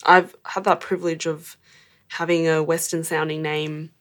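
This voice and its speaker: A woman's voice: deep voice, flat delivery, moderate pace, a bit bright. A woman interviewing a podcast host in a playful, teasing, casual way.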